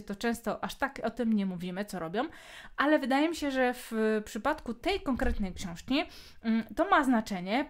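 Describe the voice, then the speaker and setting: A Polish woman hosting a book podcast, talking casually with many hesitation fillers. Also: fairly high pitch. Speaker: podcast host.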